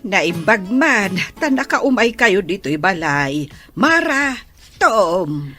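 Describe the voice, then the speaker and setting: Woman playing a slow-spoken Filipino grandmother. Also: mid-range voice, big pitch swings, pushed and bright, soft background music, exaggerated voice. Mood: explaining. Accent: Ilocano Filipino. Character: caring old grandmother, soothing and advising. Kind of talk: Ilocano audio drama acting.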